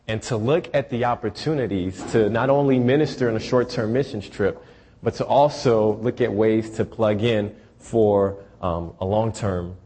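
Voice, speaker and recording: A young man from Haiti, a church intern, giving announcements and a sermon in an earnest, measured way with frequent ums. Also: on the low side, darkish tone, husky.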